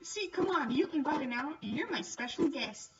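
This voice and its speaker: A woman's voice: sits high, lively intonation, normal speed, far from the mic, dull audio. A woman voicing a friendly, chatty young noble girl in a visual novel. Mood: cheery, eager. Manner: bubbly, eager, friendly. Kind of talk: visual novel character reading.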